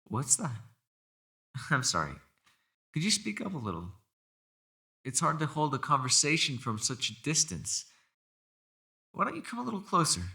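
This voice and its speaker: A man's voice: fairly high pitch, huge pitch swings, normal speed, vocal fry, pauses often, bright, forceful tone, husky, full character voice. A man playing a captive kitsune, a sly and menacing fox spirit, with silky, taunting, mock-polite delivery in an ASMR roleplay. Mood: sly, probing.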